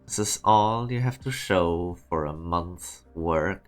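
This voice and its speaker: A man in an amateur fan dub playing a stern, demanding research director with a cold, imperious, measured manner. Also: low-pitched, faint music behind. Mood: stern disappointment.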